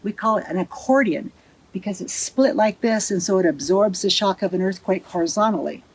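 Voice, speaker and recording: A woman's voice: mid-range voice, brisk, darkish tone, a little hiss or hum, low-quality recording. A woman presenting and explaining a site as a guide, speaking outdoors on location.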